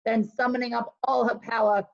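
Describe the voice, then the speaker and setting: Lady reading a bedtime story in rhyme, expressive, highish voice, kinda dull lo-fi recording. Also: moderate pace, gravelly. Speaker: story reader, co-host. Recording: dull lo-fi call audio.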